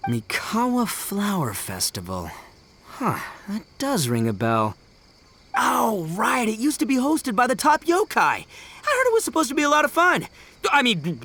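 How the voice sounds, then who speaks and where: A man's voice: sits high, bright, forceful tone, full character voice. A man playing a brash, boastful young oni in a video game, theatrical and blustering. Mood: musing, boastful. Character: brash, boastful young male oni, big-hearted and dim.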